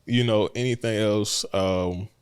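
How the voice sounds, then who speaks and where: A man's voice: fairly low pitch, steady tone, measured pace, clean tone. A man from Atlanta with a Southern accent, hosting a casual interview and affirming the guest. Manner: laid-back, lots of fillers, affirming. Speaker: host.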